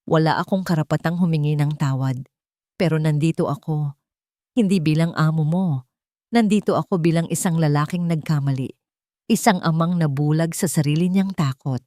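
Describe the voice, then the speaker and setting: A woman's voice: sits low, moderate pace, mellow, no echo, studio quality, lightly acted. A woman voicing a remorseful, wealthy man who pleads emotionally in a dramatized love story. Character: remorseful rich adult man, pleading for forgiveness.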